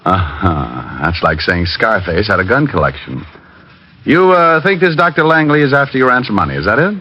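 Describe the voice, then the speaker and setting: An actor playing a wisecracking private detective who also narrates, dry and joking. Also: male voice, on the low side, very animated, moderate pace, pushed and bright, slightly rough, full character voice. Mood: wry. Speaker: narrator and lead. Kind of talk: 1940s radio acting. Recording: muffled old radio broadcast with music.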